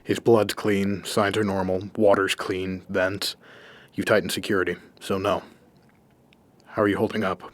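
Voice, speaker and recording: Low, terse grim vigilante. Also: male voice, flat delivery, unhurried. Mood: terse, guarded. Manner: terse, stern, clipped. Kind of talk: radio drama acting.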